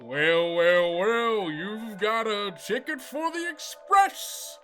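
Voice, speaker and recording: A man's voice: high voice, lively intonation, pushed and bright, faint music behind, voice pushed up, full character voice. A man voicing a manic, sinister carnival train conductor in a theatrical barker's manner, for a tabletop game. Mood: gleefully menacing. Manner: theatrical barker, exclaiming and drawn-out.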